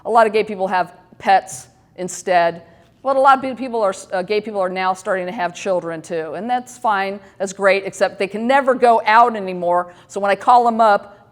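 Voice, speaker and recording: A woman in her fifties hosting a talk show, talking candidly and joking at her own expense, with many fillers and restarts. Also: medium pitch. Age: 50s. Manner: candid, self-deprecating, rambling with many fillers. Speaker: host. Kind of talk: casual talk show monologue.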